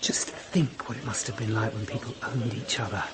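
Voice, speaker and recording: An actor playing a discontented, sardonic misfit in a radio drama, speaking with awkward, questioning prickliness. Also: male voice, on the low side, fairly even intonation, fairly quick, natural voice.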